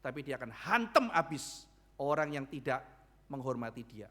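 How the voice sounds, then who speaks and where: A man preaching a sermon as pastor, speaking, asking the congregation rhetorical questions and acting out biblical characters in quick dialogue. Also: mid-range voice, big pitch swings, a bit bright. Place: church.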